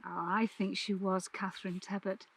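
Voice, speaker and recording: A woman's voice: mid-range voice, flat delivery, moderate pace, creaky, a bit bright, no room sound. A woman voicing an eager, curious young girl in a storybook reading. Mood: dreamy.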